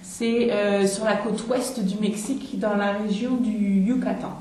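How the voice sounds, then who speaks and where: A woman leading a language exam role-play, speaking in a measured, hesitant way with many fillers and soft murmured acknowledgments. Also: medium pitch, fairly even intonation. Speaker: oral exam examiner. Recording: roomy echo.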